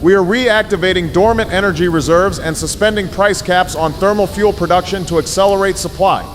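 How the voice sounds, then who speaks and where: A man playing a grave, resolute president giving a measured, formal emergency address in an audio drama, with solemn reassurance. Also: high voice, a little hiss or hum, natural voice. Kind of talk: formal press conference address.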